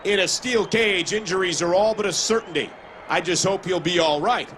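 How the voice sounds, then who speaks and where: A man playing an excitable, concerned wrestling play-by-play announcer in a video game, in sports commentary style. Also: high voice, normal speed, slight background noise.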